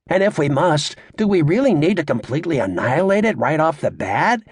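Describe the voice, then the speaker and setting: A male narrator performs a brash club comedian, crude and cocky, in an audiobook. His pitch is raised and swings boldly around as he needles the crowd with showy sarcasm. The voice is raspy. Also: sing-song, a little fast, dry recording, clean studio sound, exaggerated voice. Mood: mock-earnest. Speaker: stand-up comedian.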